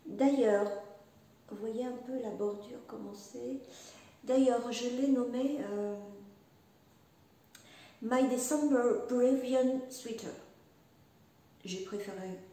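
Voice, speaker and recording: A woman's voice: on the high side, takes it slowly, pauses often, dark tone. A woman chatting casually about her knitting, hesitant and rambling, with many fillers and restarts. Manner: rambling, chatty, self-deprecating. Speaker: knitting and crochet podcaster. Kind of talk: casual chat showing knitwear. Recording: echoey room, voice from a distance.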